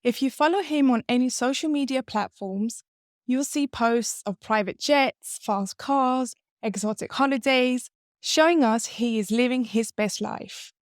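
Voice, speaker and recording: A young woman hosting an interview podcast, curious and lively, asking follow-up questions. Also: a little high, unhurried, no echo, studio quality. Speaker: podcast host. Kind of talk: interview podcast, scripted intro then chat.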